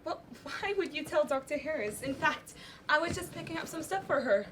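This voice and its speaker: A teenage female student acting a brisk, defensive nurse who plots an escape in an amateur stage play. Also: high-pitched, lively intonation, a bit bright. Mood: startled, defensive. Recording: noisy live stage recording with clicks.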